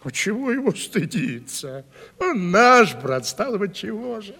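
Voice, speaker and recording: An actor in a 1970s Russian radio play as a sly, gossipy manservant, teasing and insinuating in a folksy way. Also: male voice, high-pitched, big pitch swings, a little slow, pushed and bright, husky, in a large hall, exaggerated voice. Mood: grumbling, gossipy. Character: sly, gossipy, truth-telling male manservant, needling and folksy.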